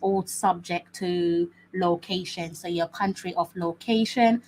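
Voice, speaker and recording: A woman's voice: fairly low pitch, steady tone, unhurried, a little mellow, a little hiss or hum, low-quality recording. A woman hosting a live watch-along stream, chatting casually and often repeating her phrases with many fillers. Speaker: host.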